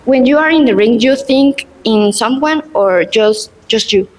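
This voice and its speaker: A woman interviewer asks her questions very slowly, pausing to search for the right words. Her voice is fairly high. The sound rings in a large hall over a faint background hiss. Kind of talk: interview questions in a second language.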